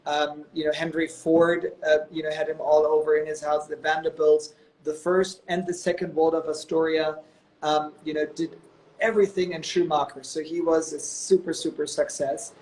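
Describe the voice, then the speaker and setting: A man's voice: a little high, little pitch movement, moderate pace, darkish tone, slight background noise, rough recording. A man in his thirties from Germany, telling stories as a guest on a live video call, chatty and full of "you know" and "um". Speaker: guest, company executive.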